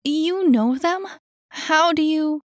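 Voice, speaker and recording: A woman voicing a feisty, indignant girl in a storybook, high-pitched, sharp and defiant, quick to challenge and exclaim. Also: lively intonation, no echo, studio quality. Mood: urgent, suspicious.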